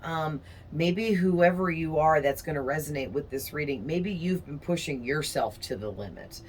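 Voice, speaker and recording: A woman with a raspy, worn-out voice that sounds hoarse from overuse. She talks to her audience in a rambling, friendly way as a tarot reader, often checking in with "you know" and "okay?" There is a faint bit of room noise behind her. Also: low-pitched, moderate pace, darkish tone. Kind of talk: casual chatty monologue to viewers.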